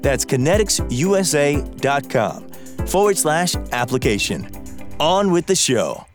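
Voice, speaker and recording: A man's voice: a little high, sing-song, unhurried. Man reading an ad over music. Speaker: ad announcer. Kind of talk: read advertisement. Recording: music bed behind voice.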